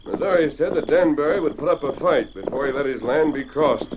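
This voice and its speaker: A man playing a calm, commanding masked hero of the Old West, firm and measured, American accent, in old radio drama style. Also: a little high, natural voice. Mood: steady. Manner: firm, measured, formal diction. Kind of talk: old radio western acting. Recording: dull, noisy old radio recording.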